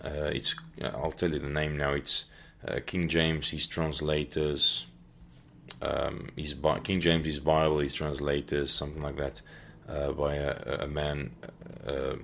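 A man hosting a podcast, giving an unscripted Bible teaching talk and often pausing to search for his words. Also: a bass voice, flat delivery, creaky, a bit bright, slight background noise. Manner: earnest, searching for words as he goes.

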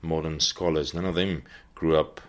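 A man hosting a podcast, giving an unscripted Bible teaching talk and often pausing to search for his words. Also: rumbling low, fairly even intonation. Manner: earnest, searching for words as he goes.

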